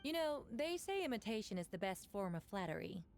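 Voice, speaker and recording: A woman's voice: medium pitch, big pitch swings, fairly quick, slight background noise. An actress playing a composed woman knight captain in a game, with a dry, cutting manner. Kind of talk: English game voice acting.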